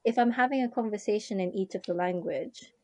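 A woman hosting a relaxed conversation, chatty and quick to laugh, with "like" scattered through her talk. Her first language was Japanese. The sound is dry and close, with almost no room echo. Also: medium pitch. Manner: chatty, many "like" fillers, laughs often. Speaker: podcast host. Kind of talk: casual chat.

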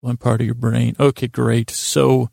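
A man's voice: medium pitch, little pitch movement, unhurried, creaky, darkish tone, no room sound, studio quality. A man hosting a bedtime podcast, rambling in a goofy, self-deprecating way, stammering and interrupting himself. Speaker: podcast host.

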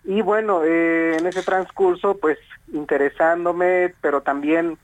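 Tzotzil-native man from Chiapas, phone guest, measured and unhurried, slightly high pitch. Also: steady tone, no room sound, highs cut off. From Chiapas, Mexico. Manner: measured, uses many fillers. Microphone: telephone.

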